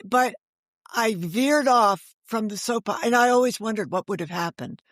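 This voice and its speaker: A woman's voice: on the high side, moderate pace, dry recording, studio quality. An older woman telling stories as an author and interview guest, given to rhetorical questions and acting out dialogue. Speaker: guest, author and former book editor. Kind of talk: animated storytelling in a podcast interview.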